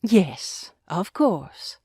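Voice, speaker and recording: Old grandmother, kindly, pious, measured, melodic range, dry studio recording, storybook drama. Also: lowish voice, very animated, breathy edge, no echo, full character voice. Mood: tender, earnest. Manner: gentle, measured, earnest. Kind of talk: full-cast audiobook acting.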